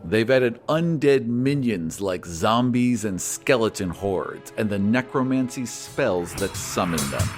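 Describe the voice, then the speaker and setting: A man's voice: fairly low pitch, expressive, a little slow, hardly any pauses, loud music behind the voice. Man hosting, scripted podcast delivery. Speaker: podcast host. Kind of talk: scripted announcing and question reading.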